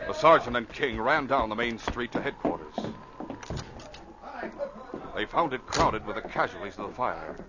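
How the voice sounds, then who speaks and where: A man's voice: mid-range voice, animated, moderate pace, long pauses, lightly acted. A man narrating an adventure serial in a dramatic, scripted old-time radio style. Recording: noisy, muffled old radio recording.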